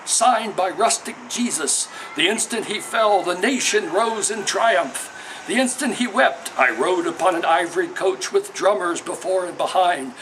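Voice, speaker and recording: A man with a fairly high voice reads poetry aloud. He delivers it in a measured, declamatory way, like a formal literary recital. There is some faint background noise in the recording. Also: a bit bright. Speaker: poet reading own work. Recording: noisy ambience behind the voice.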